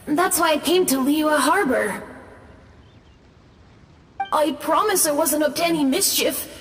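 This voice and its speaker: A woman voicing a timid, apologetic little boy ghost in a video game, meek and hesitant. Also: high voice. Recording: reverberant voice with faint music behind.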